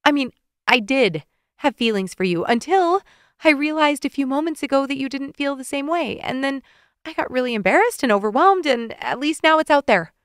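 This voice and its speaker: A flustered, heartbroken young woman, as a female narrator puts her on, pushed higher and tighter than the reading voice, blurting her feelings in teary rushes. close, dry sound with no room. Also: lively intonation, dry recording. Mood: upset. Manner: emotional, tearful outbursts.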